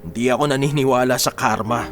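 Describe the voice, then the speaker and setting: Actor as stubborn, defensive working-class husband. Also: male voice, medium pitch, fast, faint music behind, natural voice. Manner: defensive, dismissive. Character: stubborn, self-justifying poor husband, unrepentant explosives seller. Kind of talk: Filipino radio drama acting.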